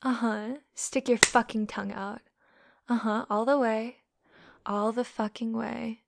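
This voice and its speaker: A woman's voice: fairly high pitch, flat delivery, unhurried, gravelly. A woman playing a whispering, taunting domme. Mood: mocking.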